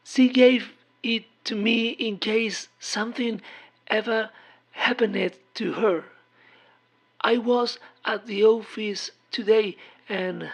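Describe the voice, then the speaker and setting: Woman as anxious neighbor in horror drama: soft, worried, halting, gravelly edge. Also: on the high side, pitched above the performer's own voice, exaggerated voice, poor audio. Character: anxious, kind-hearted woman neighbor on the phone.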